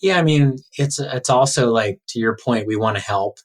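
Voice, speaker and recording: A man's voice: medium pitch, normal speed. A man hosting a podcast, interviewing guests in a conversational way. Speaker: podcast host interviewing doctors.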